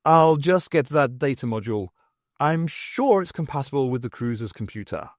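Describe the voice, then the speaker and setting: A man's voice: mid-range voice, animated, normal speed, dry recording, studio quality, natural voice. A man voicing a courteous senior male academic in an audiobook, with formal, ornate manners. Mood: obliging.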